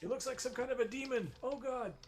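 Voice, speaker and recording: Game NPC: shrill, panicky woman warrior, as a male game master puts her on, jumpy. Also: quick pace, faint music behind. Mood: panicked. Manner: shrieking in alarm.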